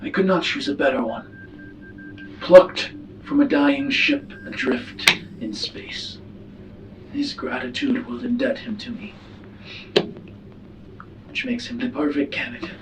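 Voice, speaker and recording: A man playing a grave, secretive alien elder statesman in a tabletop roleplay, speaking formally and with measured solemnity. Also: sits high, huge pitch swings, creaky, distant mic, background noise, exaggerated voice. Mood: solemn, calculating.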